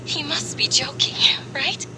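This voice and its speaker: A woman voicing a gentle, earnest young companion in soft dramatic video game dialogue. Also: high-pitched, measured pace, whispered, poor audio. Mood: disbelieving. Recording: loud background music over voice.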